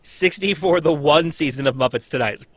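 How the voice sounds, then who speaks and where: A man's voice: fairly high pitch, fairly quick, fairly bright tone. A man chatting casually and telling little anecdotes among friends on a livestream.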